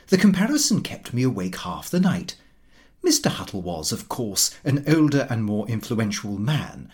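A man narrates a comic novel as a scripted first-person account. His storytelling is measured yet expressive, with very lively rises and falls around a medium pitch. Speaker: audiobook reader.

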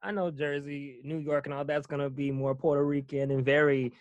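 A man's voice: fairly high pitch, little pitch movement, a little fast, dry recording, lo-fi sound. A man interviewing a guest in casual chat, rambling and laughing often.

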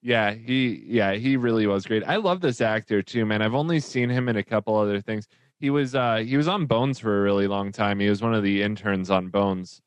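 Smooth, low-voiced guy chatting casually. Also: a little fast, no room sound. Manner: laid-back, profane slang, says dude and man. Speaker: podcast co-host. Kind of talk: casual movie discussion among friends.

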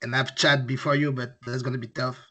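A man's voice: medium pitch, little pitch movement, fairly quick, pushed and bright. A man from Paris with a French accent, a native French speaker, chatting casually as a podcast co-host over a remote call. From Paris, France. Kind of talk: casual chat. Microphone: video call over mobile data. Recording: clearly noisy remote call connection.

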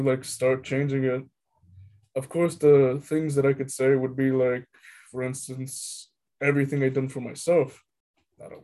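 Teenage student, deep voice, halting and unsure as he talks about himself. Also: warm tone. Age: teens. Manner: halting, hesitant, many fillers. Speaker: high school student. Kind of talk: casual interview answers.

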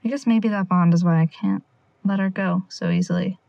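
A woman acting as a wry young amateur sleuth in an audio drama, recording a private log. Her voice is medium in pitch and very dry and close, with a hushed, rambling, sardonic delivery. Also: fairly quick, no room sound, highs cut off, close to an everyday voice. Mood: wistful. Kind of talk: audio drama monologue, casual confiding log.

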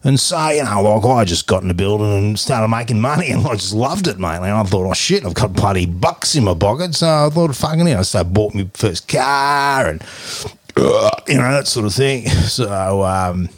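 A man's voice: on the low side, animated, normal speed. A man with an Australian accent playing a middle-aged, boastful, foul-mouthed tradie dad who rambles with fillers and swears constantly. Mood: fired up. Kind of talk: comedy podcast character interview.

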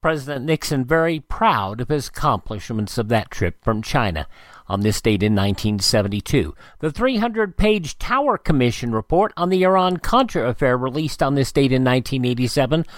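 A man's voice: mid-range voice, big pitch swings, brisk, dry recording. A man hosting a radio show, reading out dated items like an announcer and adding short asides. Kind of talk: radio narration of this day in history.

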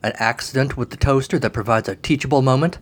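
A man voicing an oily, grandiose fire salesman creature in a comic audio story, pitching with theatrical flourish. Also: fast talker, close to an everyday voice. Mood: grandly eager.

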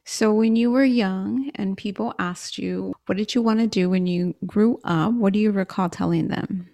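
A woman's voice: medium pitch, normal speed, creaky, mellow, dry recording. A woman hosting a podcast, introducing her guest and asking friendly, upbeat questions. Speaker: podcast host. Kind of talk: casual podcast interviewing.

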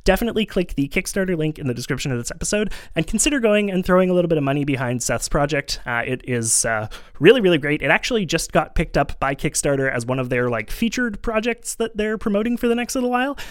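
A man from Canada co-hosting a podcast, chatting casually in a relaxed, rambling way and chuckling often. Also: fairly high pitch, fast talker, hardly any pauses, smooth, no echo. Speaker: podcast co-host.

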